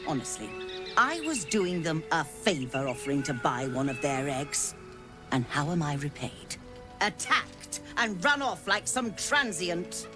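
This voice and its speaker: An actress voicing a haughty, scheming merchant woman who talks theatrically and flatters to get her way. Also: low-pitched, a little slow, music in the background. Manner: theatrical, wheedling, affected.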